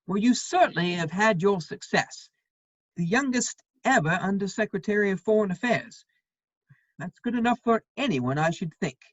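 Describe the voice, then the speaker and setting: A woman playing a worldly, witty gentleman counselling a friend in a dramatic play reading, with wry, measured phrasing. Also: medium pitch, expressive, no room sound, muffled recording, natural voice. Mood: wry, reassuring.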